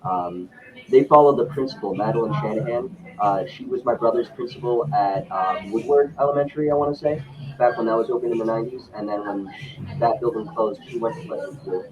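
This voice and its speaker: A young man from Michigan, a podcast guest at home, talking in a measured, thoughtful way with frequent pauses. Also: fairly low pitch, lo-fi sound, a crowd in the background. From Lansing, Michigan, US. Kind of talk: casual interview talk.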